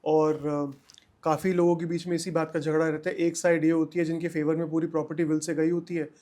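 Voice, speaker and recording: High-voiced man explaining, emphatic. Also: level tone, fairly quick, dark tone. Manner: emphatic, repeats points for clarity, mixes in English terms. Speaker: legal expert guest. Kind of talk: explanatory podcast interview answers.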